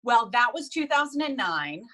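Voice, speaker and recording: A woman in her forties from the United States, a guest telling her life story in a casual, animated interview. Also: a little high, moderate pace.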